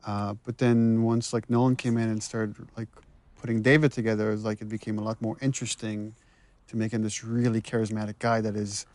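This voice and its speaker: A man's voice: lowish voice, little pitch movement, a little fast, a little mellow, no room sound. A man speaking as a game writer and director, giving casual, conversational, analytical behind-the-scenes commentary.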